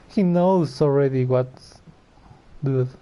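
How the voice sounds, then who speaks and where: A man's voice: mid-range voice, drawn-out words, muffled recording. A man giving casual, slangy gameplay commentary as a streamer, muttering his reactions. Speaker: game streamer.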